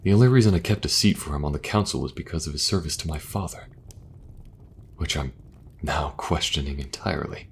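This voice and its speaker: A man playing a weary, dutiful king in a fantasy audio roleplay, with a grave, deliberate, regal manner. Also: very deep voice, gravelly, a little hiss or hum. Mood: grave, bitter.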